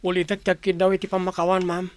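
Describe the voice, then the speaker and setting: A man's voice: sits high, monotone, quick pace, no room sound, lightly acted. An actor playing a remorseful young man in an Ilocano radio drama, pleading and contrite, with an Ilocano Filipino accent.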